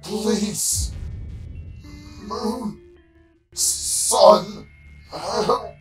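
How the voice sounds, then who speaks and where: A suffering broken robot, as a performer acts it in a comic dub. It pleads weakly and very slowly, in halting fragments over faint music. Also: mid-range voice. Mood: pained pleading. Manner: halting, pleading single words. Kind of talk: fan comic dub acting.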